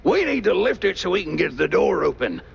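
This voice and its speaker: Gravelly, goofy cartoon dimwit. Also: male voice, high voice, sing-song, fairly quick, dull audio, heavily acted. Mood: sheepish. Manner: rambling, goofy, stammering. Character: dim, chatty male cartoon henchman type. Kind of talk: cartoon game acting.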